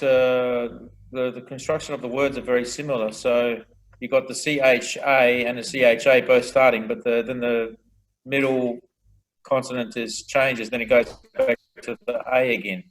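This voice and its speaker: A man's voice: mid-range voice, fairly even intonation, measured pace, poor audio. A man in a video-call study group asking a question, hesitant with many fillers and restarts.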